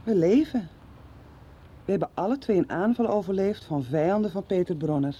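A woman's voice: on the low side, normal speed, warm tone, husky. Formal, earnest young woman. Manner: earnest, formal, taking charge and making plans. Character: earnest young woman who was hidden as a child, now protective. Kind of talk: 1990s radio soap acting. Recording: dull lo-fi radio recording with faint street noise.

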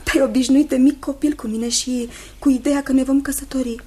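Sweet, high-voiced young fiancée, radio play. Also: female voice, steady tone, warm tone, slightly rough. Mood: earnest, tender. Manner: tender, hesitant, repeats phrases, seeks agreement. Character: sweet, earnest, unhappy young fiancée breaking an engagement. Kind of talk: Romanian radio theatre acting.

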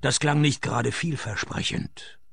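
Gravelly, deadpan private eye. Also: male voice, mid-range voice, measured pace, no echo, exaggerated voice. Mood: dry, laconic. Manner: dry, deadpan, sardonic. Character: wry, hard-drinking male private detective narrating his case. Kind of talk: radio play detective narration and dialogue.

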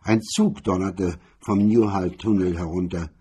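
A man narrates a crime story from a script. His voice is dark and mellow, with a heavy husky rasp. It sits fairly low. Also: fairly even intonation, unhurried.

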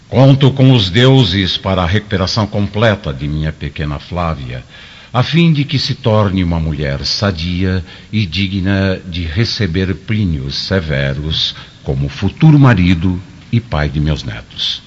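A man acting in a radio drama as a stern, proud Roman senator and father, with an authoritative, measured delivery. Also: sits low, husky, full character voice. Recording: dull lo-fi radio drama recording.

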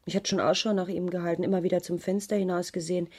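An actress in a German radio crime play playing an uneasy married schoolteacher who gives a hesitant, detailed account to police. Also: female voice, lowish voice, level tone, very fast, warm tone, slightly rough. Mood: earnest, careful. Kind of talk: 1980s German radio drama dialogue.

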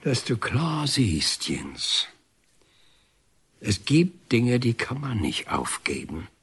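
An actor playing a defiant, bitter, sarcastic painter in a German radio drama. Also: male voice, medium pitch, sing-song, takes it slowly, a bit bright, slightly rough, full character voice. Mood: quietly defiant. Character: defiant, bitter male painter, banned by the regime.

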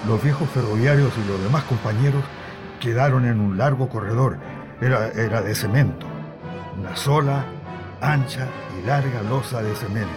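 A man who was once a prisoner, voiced by an actor, recounts his captivity in a middle-pitched voice. He narrates reflectively and haltingly, as in a radio drama, over very prominent music. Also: natural voice. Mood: reflective, sombre. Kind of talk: dramatised first-person testimony narration.

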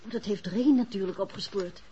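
An actress playing a curious, wry wife of a detective in a 1960s radio drama. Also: female voice, medium pitch, normal speed, mellow, natural voice. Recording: muffled, noisy old radio recording.

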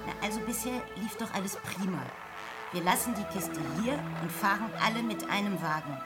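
A woman's voice: mid-range voice, a little fast, natural voice. Woman acting a preachy female guerrilla revolutionary over music. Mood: commanding, reassuring. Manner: lecturing, slogan-laden. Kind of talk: German radio play acting. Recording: music behind.

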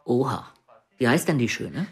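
Nosy police colleague: husky, mid-pitched, casual, probing radio drama delivery. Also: male voice, very animated, moderate pace, exaggerated voice.

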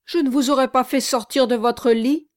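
A woman's voice: high voice, steady tone, moderate pace, pitched above the performer's own voice, lightly acted. A woman reading aloud, voicing a polite, apologetic young man asking for directions. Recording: dry close audiobook recording.

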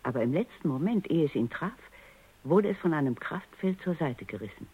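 An actress playing a stern, coolly analytical robot psychologist in a radio drama, precise, commanding and didactic. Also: female voice, sits low, lively intonation, a little fast, breathy edge. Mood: measured narration. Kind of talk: German radio drama acting. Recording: muffled, dull radio drama recording.

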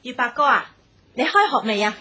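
Young Hong Kong Cantonese daughter for radio drama: forthright and teasing, sharp bright tone, slight huskiness. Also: female voice, on the high side, sing-song, fairly quick, full character voice. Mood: teasing, polite.

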